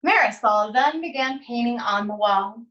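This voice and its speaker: A woman teacher reading a children's storybook aloud, with deliberate, expressive phrasing and pauses. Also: a little high, far from the mic, highs cut off.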